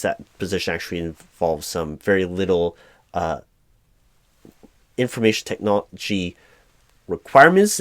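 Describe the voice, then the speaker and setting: Low-voiced, halting man as corporate interviewer. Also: dry recording. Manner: halting, monotone, flustered office formality. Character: mildly frustrated, apologetic male corporate hiring manager. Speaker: roleplay performer as recruiting manager. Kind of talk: one-sided job interview audio roleplay.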